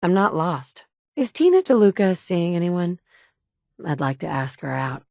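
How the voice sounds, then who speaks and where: A woman's voice: on the low side, sing-song, measured pace, vocal fry, a little mellow, no room sound, clean studio sound, heavily acted. An actress in her thirties playing a blunt, sardonic mother in a game, with clipped one-liners. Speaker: player character. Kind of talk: video game dialogue lines. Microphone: studio mic.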